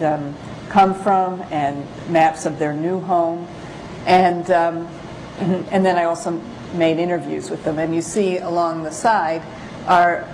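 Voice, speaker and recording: A woman photographer giving an informal lecture about her work, speaking haltingly with frequent pauses. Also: on the low side, a little mellow, slight background noise, rough recording. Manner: halting, hesitant, pausing often. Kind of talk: informal slide lecture with read-out excerpts.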